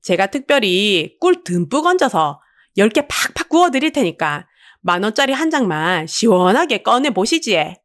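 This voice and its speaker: A woman's voice: medium pitch, big pitch swings, moderate pace, dry recording, studio quality, close to an everyday voice. A woman voicing a bold, cheeky middle-aged street-food seller with a Busan accent, chatty and full of playful wheedling. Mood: flattering, wheedling.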